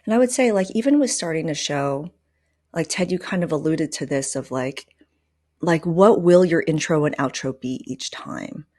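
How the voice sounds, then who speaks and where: A woman's voice: deep voice, expressive, normal speed, darkish tone. A woman hosting a podcast, chatting casually and candidly with advice, often dropping in "like" and "you know.". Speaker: podcast host. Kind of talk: casual conversational advice chat.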